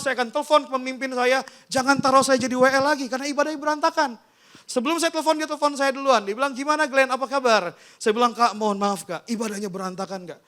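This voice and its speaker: A man preaches with a strikingly high-pitched voice that is bright and smooth. As a pastor, he tells personal testimony in informal, conversational language, speaking straight to his listeners. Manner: informal storytelling, often addressing listeners directly. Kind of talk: personal testimony in a sermon, addressing a congregation.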